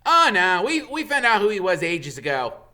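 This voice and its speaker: Man voicing an excitable high-pitched know-it-all schoolboy. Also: normal speed, full character voice. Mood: boastful. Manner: excitable, insistent.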